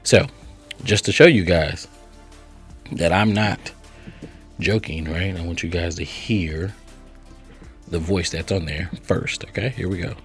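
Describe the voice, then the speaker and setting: A man with a fairly low voice hosts a casual step-by-step tutorial. He is chatty and instructional, often tagging sentences with quick check-ins. Music plays faintly behind him. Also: steady tone. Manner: chatty, instructional, frequent check-in tags like right and okay. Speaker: host of software tutorial channel.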